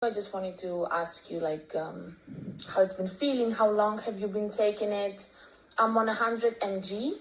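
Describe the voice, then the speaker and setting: A woman's voice: mid-range voice, fairly even intonation, unhurried, poor audio. A woman from Greece with a Greek accent, leaving a hesitant voice memo full of ums and restarts. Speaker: caller sending a voice memo. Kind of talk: recorded voice memo.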